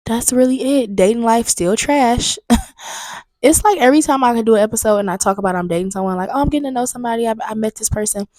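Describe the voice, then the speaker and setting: A woman's voice: a little high, fast, mellow, no room sound. Young woman, podcast host, candid venting chat, slightly stuffy, dry close mic. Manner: candid, chatty, venting with emphatic repetition. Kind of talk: solo casual podcast talk. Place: booked studio room.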